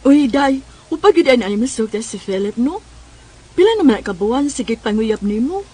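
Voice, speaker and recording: A woman's voice: fairly high pitch, expressive, moderate pace, mellow, soft background music, natural voice. A woman with a Cebuano Filipino accent playing a nosy, teasing confidante in a radio drama. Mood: teasing, urging.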